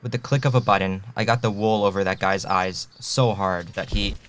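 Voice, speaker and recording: A man's voice: deep voice, fairly even intonation, normal speed, a bit bright. A man playing a defiant, hot-headed young man in an audio drama, indignant and mouthy.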